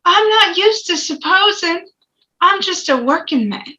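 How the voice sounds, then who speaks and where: A woman's voice: very high-pitched, expressive, measured pace, bright, forceful tone, exaggerated voice. A woman playing a plain-spoken, self-assured working man on a jury in an amateur table read. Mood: pointed. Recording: dull, lo-fi microphone.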